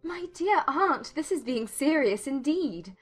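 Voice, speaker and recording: A woman playing a witty, spirited young gentlewoman in an audiobook drama, expressive and articulate, teasing yet sincere. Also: high voice, a little slow, faint music behind. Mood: playful.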